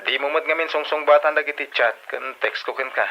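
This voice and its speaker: A man's voice: fairly high pitch, a little fast. A man with an Ilocano accent acting a bewildered, pleading boyfriend in a radio drama, heard through a telephone filter. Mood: puzzled, annoyed. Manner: questioning, pleading. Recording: dull telephone-like band-limited sound.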